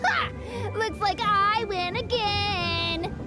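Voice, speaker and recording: Brief: proud young kid champ in a game, high and drawn-out, excitable. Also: exaggerated voice. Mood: childish. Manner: gloating, excitable. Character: proud little boy, cocky beetle-fighting champ. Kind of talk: video game voice acting. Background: game music.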